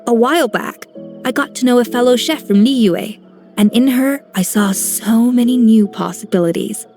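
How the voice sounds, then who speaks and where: A woman's voice: on the high side, moderate pace, close to an everyday voice. A woman voicing a poised, confident master chef. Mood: composed. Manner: calm, poised, gently instructive. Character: composed grown-up female master chef, confident mentor. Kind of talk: video game voice acting. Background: soft game music.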